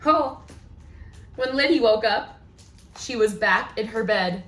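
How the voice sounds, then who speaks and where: A woman's voice: a little high, slow, fairly bright tone. A woman hosting storytime for young children, reading aloud and talking in an exaggerated, sing-song way. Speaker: children's librarian, storytime host. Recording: echoey room.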